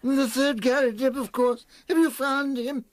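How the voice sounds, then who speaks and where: An actor playing a frail, confused old collector who rambles eagerly, in a radio drama. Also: male voice, extremely high pitch, steady tone, a little slow, dry recording. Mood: pleading. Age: older. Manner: rambling, eager, breaking into laughter. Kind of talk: 1990s radio drama acting.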